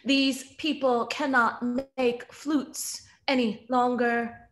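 A woman in her thirties reading poetry with deliberate, measured phrasing. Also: a little high, level tone, a bit bright. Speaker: poet reading at an online event. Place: room at home.

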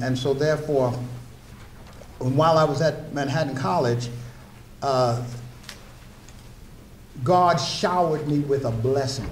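A man's voice: medium pitch, a little hiss or hum. An older man giving a storytelling lecture at a podium microphone, sharing personal anecdotes with asides to the audience. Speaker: guest lecturer. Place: lecture room at a wooden podium. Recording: roomy lecture room.

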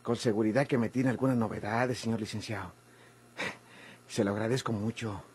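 A man's voice: fairly low pitch, steady tone, brisk, darkish tone, slight background noise, natural voice. A man with a Mexican accent playing a servile, pleading prisoner in a vintage radio drama, obsequious and slippery. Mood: humble, wheedling. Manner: obsequious, pleading, stammering when agitated.

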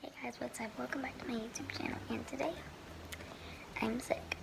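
A young teenage girl chatting casually in a home vlog. Her voice is hoarse and she rambles in a soft, breathy way. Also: on the high side, fairly even intonation, brisk, very noisy. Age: young teen girl. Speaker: vlogger. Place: bedroom at home. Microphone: phone camera held close.

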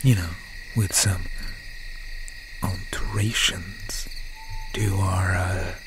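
A man playing an obsessed, menacing country slasher boyfriend in an ASMR roleplay, speaking in a breathy, halting, often whispered voice with folksy phrasing. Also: deep voice, level tone. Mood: cold, possessive. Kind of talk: ASMR roleplay, slow and intimate. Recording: noisy night ambience with crickets, owl. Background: crickets, insects and an owl.

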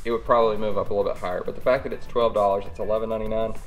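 Casual American male vlogger for an unboxing review, fairly low voice, chatty and informal, music underneath. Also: fairly even intonation, rapid-fire. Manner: informal, addresses viewers as y'all. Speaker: fishing channel host. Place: indoors at a cluttered worktable.

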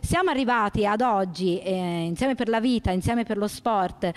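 A woman's voice: medium pitch, lively intonation, normal speed. A woman speaking for the organizers of a charity sports event, explaining it in an earnest, careful way into a handheld mic in a large gym hall.

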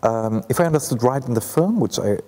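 A man's voice: mid-range voice, animated, fairly quick, warm tone. A man in his forties with a German accent, hosting a festival film talk and asking thoughtful, hesitant questions. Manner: thoughtful, hesitant with many fillers. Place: ornate hall, indoors.

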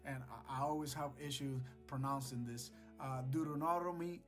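A man's voice: mid-range voice, moderate pace, fairly bright tone. A man in his forties giving an earnest, exhorting devotional message to camera, repeating phrases for emphasis. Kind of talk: devotional sermon to camera, scripture reading. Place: small indoor home studio. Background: music, guitar.

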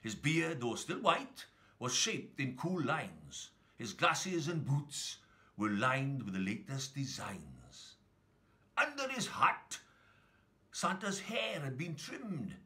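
Animated, bright-voiced man reading verse. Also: medium pitch, takes it slowly. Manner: theatrical, expressive. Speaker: story reader. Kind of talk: scripted rhyming storytelling for children.

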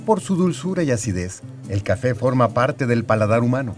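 A man's voice: medium pitch, very animated, fairly quick, a little mellow. A man reading a scripted news report as a reporter. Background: guitar music bed.

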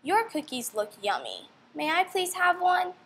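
A woman's voice: extremely high pitch, wildly swooping pitch, a little slow, fairly bright tone, a little hiss or hum, pitched above the performer's own voice, heavily acted. A young woman voicing a firm but kind alligator mother in a storybook, with emphatic, instructive delivery.

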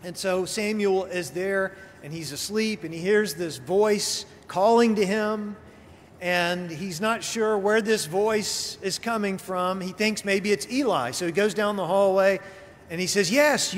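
Male pastor, middle-aged, high-pitched voice, storytelling sermon delivery from a pulpit in a reverberant church with noticeable noise. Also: normal speed. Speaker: pastor preaching. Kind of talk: sermon, conversational storytelling with acted-out dialogue. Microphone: pulpit gooseneck microphone. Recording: reverberant church hall, clear background noise.

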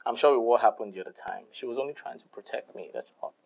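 A man's voice: mid-range voice, fast talker, gravelly, a little mellow, a little hiss or hum, natural voice, poor audio. A man with a Nigerian accent playing an easygoing young boyfriend who brushes off quarrels and apologizes to his mother. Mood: placating. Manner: placating, laughing things off.

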